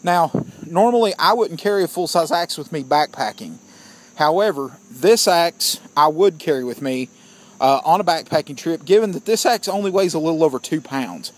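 A man's voice: a little high, expressive, moderate pace, a little hiss or hum. A man giving a casual outdoor gear review, plainspoken and opinionated, often calling his viewers "guys". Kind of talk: casual product review vlog.

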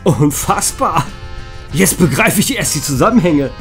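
A man's voice: on the high side, expressive, unhurried, a bit bright, faint music behind. A man playing an easily exasperated young guy in a comedic audio drama, colloquial and exclamatory. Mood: casual.

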